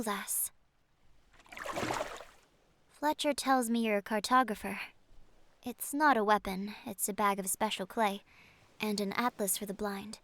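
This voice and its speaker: A woman playing a kindly ancient siren in an audio adventure, speaking in a gentle, solemn, measured manner. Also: mid-range voice, lightly acted. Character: kindly ancient siren, a female sea monster. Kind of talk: audio roleplay acting.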